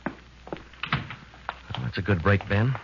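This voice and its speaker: Hard-boiled detective narrator, deep and clipped, old radio sound. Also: male voice, extremely slow, gravelly, heavily acted. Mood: flat. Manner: flat, clipped, matter-of-fact delivery. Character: terse male police sergeant narrating his case. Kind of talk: 1940s radio drama acting. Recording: muffled, hissy old radio broadcast.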